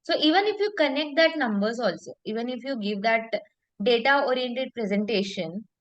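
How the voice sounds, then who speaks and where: A woman's voice: on the high side, animated, moderate pace. A woman coaching in conversation, speaking emphatically, repeating phrases and often checking for agreement.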